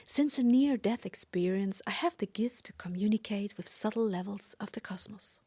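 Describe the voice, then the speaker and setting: Female podcast host, German native with German accent, dark soft tone, husky breathiness, formal spiritual delivery. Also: medium pitch, moderate pace. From Germany or German-speaking Europe.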